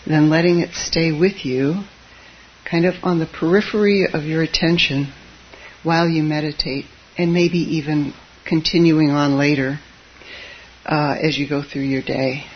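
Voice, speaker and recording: A soft-voiced Zen teacher speaks to a gathered audience in a meditation hall, often pausing on fillers and slipping in dry little jokes. The voice sits at a middle pitch and drifts quiet and low. The recording is dull and lo-fi, with a faint hiss underneath. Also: fairly even intonation. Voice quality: soft voice that tends to drop low. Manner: hesitant, with frequent fillers and wry asides. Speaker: Zen teacher giving a dharma talk. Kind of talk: gentle, informal dharma talk.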